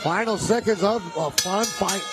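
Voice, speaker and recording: Male ringside commentator, high-pitched, event crowd and music underneath. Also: moderate pace. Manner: energetic, asks guests questions. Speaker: play-by-play commentator. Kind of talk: live boxing commentary. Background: music and crowd noise.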